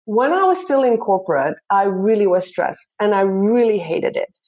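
A woman's voice: medium pitch, very animated, moderate pace, a little mellow, lo-fi sound. A woman from Belgium, a guest life coach, speaking in a casual and upbeat interview with a lot of emphasis.

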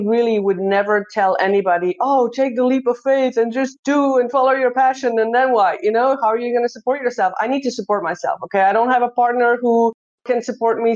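A woman's voice: fairly high pitch, a little mellow, muffled recording. A woman from Belgium, a guest life coach, speaking in a casual and upbeat interview with a lot of emphasis.

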